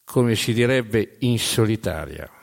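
Low-voiced male storyteller in a reverberant hall. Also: expressive, creaky. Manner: emphatic, short measured phrases with dramatic pauses. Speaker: narrator of a storytelling stage show. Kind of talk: dramatic narrative storytelling about history.

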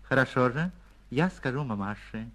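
A man's voice: medium pitch, expressive, moderate pace, a bit bright, natural voice. A man voicing a sly, gloating schoolboy blackmailer in a story, with a taunting drawl.

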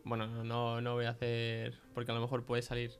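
Young man from Spain, fairly flat delivery. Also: mid-range voice, fairly quick, faint music behind. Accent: Spain Spanish. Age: young adult. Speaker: podcast guest, film producer. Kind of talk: informal testimony in conversation.

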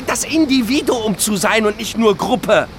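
A middle-aged man in a stage-like radio drama, whose voice sits high. He complains in an agitated, halting stammer. He sounds wounded and self-pitying, firing rhetorical questions. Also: lively intonation, a little hiss or hum. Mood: aggrieved, sarcastic. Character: aggrieved middle-aged man whining over lost privileges. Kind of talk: theatrical stage monologue.

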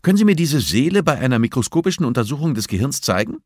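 Audiobook role: smug young male student, played by a male narrator, provocative, challenging delivery, lifted pitch, dry sound. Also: very animated, quick pace, a little mellow, dry recording. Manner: pointed, challenging.

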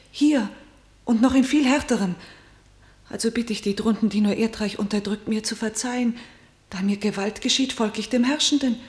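A cautious, frightened younger sister, as an actress plays her, pleading in measured classical verse and sometimes whispering. Her voice is fairly high. There is a roomy studio echo around her. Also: darkish tone, natural voice. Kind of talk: classical verse radio drama.

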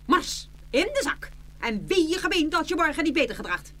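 A woman's voice: sits high, sing-song, normal speed, sharp tone, full character voice. A woman playing a bossy, scolding old mother of the winds in a children's fairy-tale radio play. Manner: scolding, commanding, theatrical.

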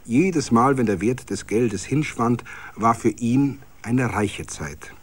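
A man reading scripted literary narration for a radio play in a measured storytelling manner. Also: mid-range voice, breathy edge. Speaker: narrator and reader of all parts.

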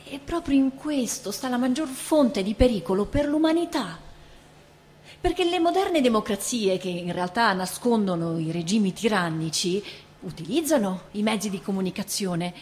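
A woman's voice: a little high, a little mellow. A woman giving a stage reading of a text in a dramatic way. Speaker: stage reader. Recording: hum and background noise.

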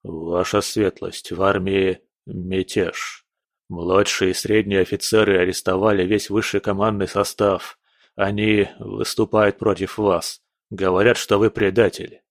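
A man voicing a calm, deep-voiced old general. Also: no room sound. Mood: stunned, hushed. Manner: calm, measured, grave. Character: calm stern older male general.

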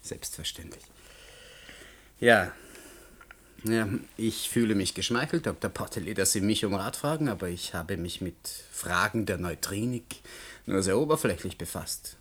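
An actor playing a cautious physics lecturer in a radio drama, formal, measured and politely evasive. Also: male voice, fairly low pitch, fairly bright tone, husky. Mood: awkward, evasive. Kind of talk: German radio drama acting.

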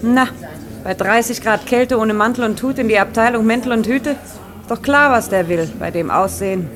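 An actress in a German radio play playing a suspicious, bossy department store supervisor, brisk and scornful. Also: female voice, fairly high pitch, close to an everyday voice. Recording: crowd noise behind. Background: store crowd.

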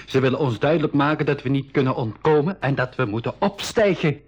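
An actor playing a young adventurer and storyteller in a 1980s school radio drama, with a husky, breathy voice. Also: male voice, medium pitch, expressive, fairly quick, muffled recording. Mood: alarmed. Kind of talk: 1980s school radio play acting and narration.

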